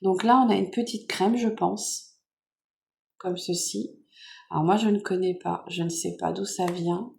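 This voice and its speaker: French lady with a cold, croaky nasal voice, low and gravelly, rambles quietly at home. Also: steady tone, measured pace. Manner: soft-spoken, meandering with many fillers. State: hoarse from a cold. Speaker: vlogger. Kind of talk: casual chat unboxing makeup gifts.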